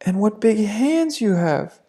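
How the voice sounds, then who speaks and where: A storyteller voicing an innocent, trusting little fairy-tale girl with a small, soft voice. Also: medium pitch, sing-song, takes it slowly, pitched above the performer's own voice, heavily acted. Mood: wondering. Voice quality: tiny, soft voice.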